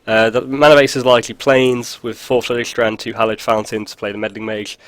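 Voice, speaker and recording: A man with a head cold, his voice stuffy and sniffly, rambles through a casual card-game walkthrough. He talks at an everyday pitch, peppering his talk with ums and dry asides. The recording is dry and close, with little room sound. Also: flat delivery, a little fast, clean tone, no room sound. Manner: rambling, filler-heavy, dry jokes. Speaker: video host. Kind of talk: casual gameplay commentary.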